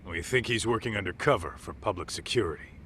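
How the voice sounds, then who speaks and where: An actor playing a brash, wisecracking ex-gangster in an English game dub, with a casual way of talking. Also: male voice, lowish voice, expressive, quick pace, a bit bright, a little hiss or hum.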